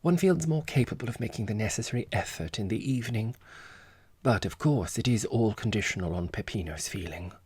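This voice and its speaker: A man's voice: lowish voice, animated, a little fast, darkish tone, breathy edge, dry recording. A man voicing a genteel, coyly self-important society lady in an audiobook, with precious phrasing and theatrical reluctance. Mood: measured, self-important. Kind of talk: audiobook character dialogue.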